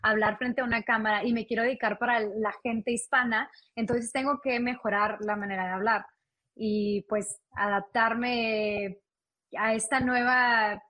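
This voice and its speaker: A woman's voice: fairly high pitch, normal speed, highs cut off. A young Mexican woman, a guest on a talk show, telling a story in a chatty way and acting out what people said to her. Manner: storytelling, animated with quoted voices. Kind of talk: casual interview chat.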